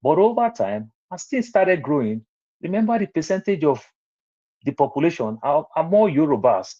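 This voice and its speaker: A man's voice: on the high side, big pitch swings, moderate pace, dark tone. A man with a Nigerian accent, rambling through personal stories on an online panel. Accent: Nigerian English. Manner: rambling, storytelling, repeats phrases. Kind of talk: casual online panel talk. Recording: muffled lo-fi online audio.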